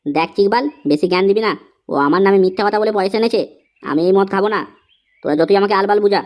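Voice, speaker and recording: Woman voicing a fast-talking young cartoon slacker guy. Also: medium pitch, natural voice. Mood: indignant. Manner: crude, slangy. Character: excitable young male cartoon slacker, crude but sentimental. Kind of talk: comedy cartoon dialogue.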